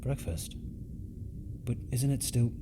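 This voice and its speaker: A man's voice: mid-range voice, animated, takes it slowly, mellow, slight background noise. A man playing an awkward, introspective starship ensign in an audio drama, hesitant and flustered. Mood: confused, hesitant.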